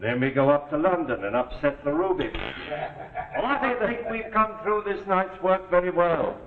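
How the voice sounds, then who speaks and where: A man's voice: sits high, normal speed, background noise, natural voice. Tinny vintage recording of a smug, commanding gang boss played by a man. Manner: self-satisfied, speechifying, giving orders. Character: smug, commanding gang leader who boasts of foresight. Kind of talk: 1940s live television suspense drama acting. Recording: tinny, band-limited 1949 TV soundtrack, loud music.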